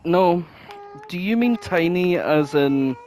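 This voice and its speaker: Casual sweary man with lively pitch. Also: slow. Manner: sweary, informal. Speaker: podcast co-host. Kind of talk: casual chat.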